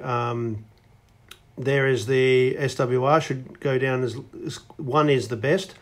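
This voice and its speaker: A man giving a casual hands-on demonstration as a hobbyist reviewer. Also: mid-range voice. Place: indoors at a wooden tabletop.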